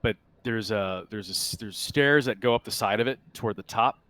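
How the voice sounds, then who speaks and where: Low-voiced man running a tabletop game. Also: fairly bright tone, no echo. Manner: halting, casual descriptions. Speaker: game master. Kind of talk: improvised narration of a tabletop sci-fi horror game.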